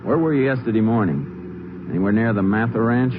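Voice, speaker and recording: An actor playing a stern, methodical Texas Ranger in an old-time radio crime drama, speaking in a terse, firm, matter-of-fact way. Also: male voice, medium pitch, a little fast, noisy background, close to an everyday voice. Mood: stern questioning. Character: stern, methodical male Texas Ranger lawman, narrating his case. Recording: dull vintage radio recording.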